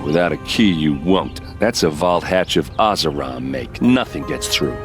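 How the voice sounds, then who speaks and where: A rough-edged male captain of the guard in a fantasy game. His voice is low, and his talk is gruff and informal despite his grief. Music plays clearly behind him. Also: lively intonation, normal speed.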